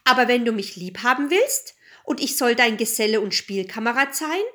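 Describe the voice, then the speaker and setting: A woman's voice: fairly high pitch, lively intonation, normal speed, bright, forceful tone. A woman voicing a pushy, demanding, pleading male frog in a fairy tale. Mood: insistent. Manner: insistent, demanding.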